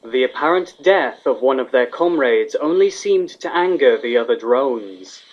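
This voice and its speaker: A man's voice: mid-range voice, expressive, a little slow. A man reading fan fiction aloud as a scripted story narrator. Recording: dull, lo-fi sound.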